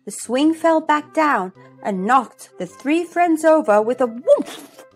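A woman hosting a children's read-aloud, narrating a storybook expressively and deliberately. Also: high-pitched, lively intonation, a little mellow. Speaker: host and storybook reader. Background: music.

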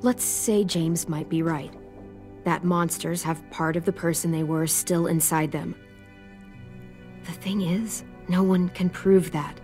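Tired teen girl survivor in a game, kinda low voice, gentle and motherly, music under it. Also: fairly even intonation, slow. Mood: firm, patient. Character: protective, weary teenage girl survivor raising a young boy. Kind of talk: naturalistic video game acting.

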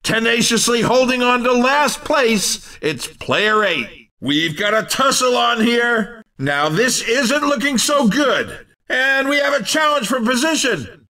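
A man playing an excitable, teasing, hammy race commentator in a video game. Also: very high voice, measured pace, far from the mic, heavily acted. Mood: teasing, upbeat. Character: excitable, cheeky male racing game announcer.

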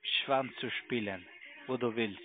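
A man's voice: mid-range voice, slow, music in the background. Male scam caller, crude and taunting, tinny telephone sound. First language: not German. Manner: crude, taunting, broken phrasing. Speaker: scam call centre caller. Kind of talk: confrontational phone argument. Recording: muffled, narrow phone line.

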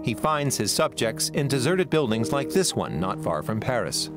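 Measured male documentary narrator. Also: medium pitch, very animated. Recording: studio voice-over with music behind.

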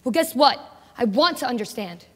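A woman's voice: fairly high pitch, animated, moderate pace. A woman playing a determined young woman set on fighting. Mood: defiant. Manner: insistent, defiant. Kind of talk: amateur drama acting. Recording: echoey hall.

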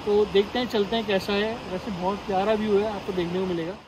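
Young man, high voice, chatty travel vlogger, noisy outdoor phone audio. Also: steady tone, quick pace. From India. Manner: chatty, enthusiastic, addresses viewers as guys. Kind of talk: casual travel vlog narration. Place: in a car, then outdoors by a river. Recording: outdoor phone audio with loud background noise.

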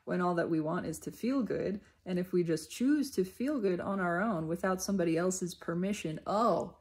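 A woman giving an unscripted, reflective spiritual monologue in deliberate, halting phrases with emphatic repetition. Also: on the low side, a little mellow. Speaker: speaker sharing personal spiritual reflections.

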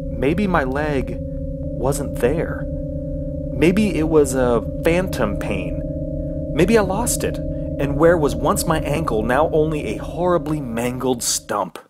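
An actor playing an injured, panicked man alone in the wilderness, narrating his ordeal in halting, theatrical radio horror style. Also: mid-range voice, background noise, natural voice. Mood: pained, panicked. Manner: halting, anguished, theatrical delivery. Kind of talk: dramatic first-person radio horror narration. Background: music.

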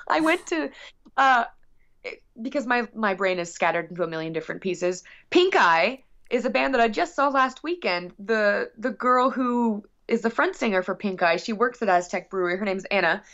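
A woman musician chatting casually as an interview guest, gushing and rambling excitedly. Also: medium pitch, moderate pace. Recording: slightly dull, lo-fi audio.

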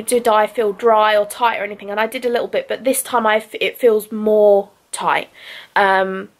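Young British woman, high voice, casual vlogger talking to her phone camera at home, umming often. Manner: chatty, lots of ums and restarts. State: losing her voice, under the weather. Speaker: beauty vlogger. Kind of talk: casual chatty vlog update. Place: bedroom at home, indoors. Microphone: handheld camera or phone at arm's length.

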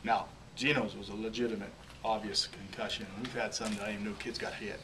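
A man in his forties sits in a small press room and talks into a table microphone, pitched a little low and often stumbling over repeated words. The mic sits some way off and picks up plenty of room noise. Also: little pitch movement, recorded from a distance. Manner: halting, repeats words while searching for phrases. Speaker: head football coach. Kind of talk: postgame press conference answers. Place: small press room, in front of a logo backdrop. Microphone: table microphone on a stand.

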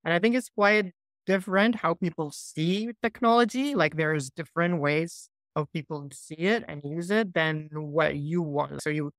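A man in his forties from Iraq, a software founder, answering interview questions on a laptop video call in a small room, thoughtful and loose with restarts. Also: a little high, normal speed, no room sound. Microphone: laptop mic on a video call.

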